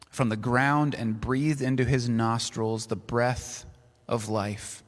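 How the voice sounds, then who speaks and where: A man preaching a sermon, reading scripture aloud in a slow, deliberate way. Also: mid-range voice. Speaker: pastor. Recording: long reverb of a large hall.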